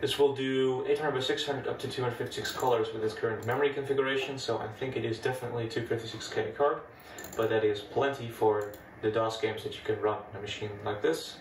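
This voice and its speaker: A man's voice: mid-range voice, fast talker, hardly any pauses, a little hiss or hum. A man with a Dutch accent, a native Dutch speaker, casually narrating a step-by-step demo of an old computer in a room full of old machines. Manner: relaxed, chatty, explains step by step. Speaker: retro computing video host. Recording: echoey room, mic at a distance.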